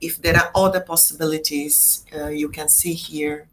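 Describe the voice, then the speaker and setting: A woman's voice: sits low, flat delivery, a bit bright, slight background noise, low-quality recording. A woman from Brazil, a Portuguese speaker, chatting patiently as a language teacher on a video call. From Brazil, Rio de Janeiro. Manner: slow, patient, laughs often. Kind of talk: casual discussion, teaching words.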